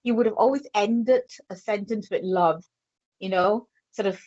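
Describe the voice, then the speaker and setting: A woman's voice: mid-range voice, moderate pace, darkish tone, no echo. A woman with a Yorkshire accent giving a psychic reading, thinking aloud with many fillers and restarts. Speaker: psychic medium. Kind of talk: live psychic reading on air. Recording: dull lo-fi sound.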